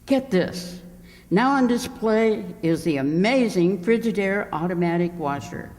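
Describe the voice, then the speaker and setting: A man's voice: high-pitched, animated, a little slow, a little mellow. A man playing an enthusiastic, wordy appliance salesman, emphatic as an advertisement, in an old-time radio comedy. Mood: enthusiastic pitching. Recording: echoey hall, long reverb.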